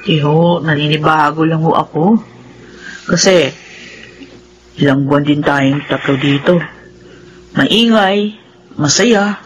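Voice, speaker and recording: An actress playing a candid young tomboy daughter in a radio drama, plain-spoken and boyish in manner. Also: female voice, low voice, slow, pauses often, husky, exaggerated voice, rough recording. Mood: wistful, confiding. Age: young adult. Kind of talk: Filipino radio drama acting. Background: prominent music.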